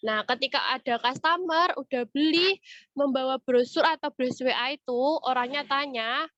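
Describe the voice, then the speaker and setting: Woman, high voice, has a cold, conversational instructional delivery, dry close sound, webinar presenter. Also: normal speed, a bit bright, no room sound. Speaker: webinar presenter and retail trainer. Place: online video meeting. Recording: muffled, dull online-meeting audio.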